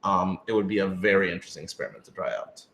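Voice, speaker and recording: Low-voiced man in an echoey room. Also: lively intonation, rapid-fire, gravelly, a bit bright. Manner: thoughtful, hedging, frequent "like". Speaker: podcast creator and writer in a group Q&A. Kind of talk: casual panel discussion. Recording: roomy, echoey room sound.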